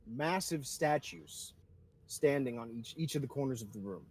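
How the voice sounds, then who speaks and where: A man's voice: mid-range voice, lively intonation, normal speed, a little hiss or hum. A man narrating a tabletop roleplaying game as game master, informally describing scenes and questioning the players.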